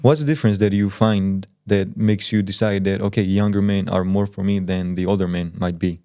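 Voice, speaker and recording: A man's voice: low-pitched, steady tone, a little fast, mellow, clear, resonant tone, no room sound, studio quality. A man conducting a casual interview, wordy and hedging, rephrasing his questions as he goes. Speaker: interviewer, podcast co-host.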